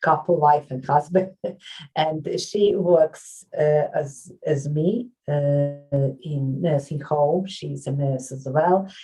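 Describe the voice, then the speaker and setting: A Lithuanian woman who works as a nurse and writes books, answering interview questions in halting English with frequent pauses and fillers. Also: sits low, mellow, recorded from a distance, rough recording. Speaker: author and nurse.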